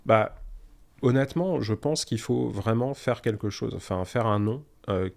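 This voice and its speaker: Canadian-born guy in his 40s, lowish voice, chatty interview guest, restarts sentences a lot. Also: unhurried, gravelly, a little mellow. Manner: informal, explains with examples and restarts often. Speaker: startup cofounder guest. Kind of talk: casual conversational interview.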